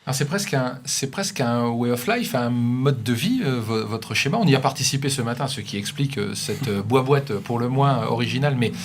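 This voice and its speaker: A man's voice: mid-range voice, animated, brisk, hardly any pauses. A man hosting a regional TV studio interview, introducing guests and asking questions with playful asides. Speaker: TV host.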